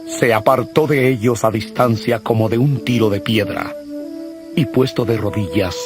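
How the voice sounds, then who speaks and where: A man narrating scripture from a script in a solemn, measured manner. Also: fairly low pitch. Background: music.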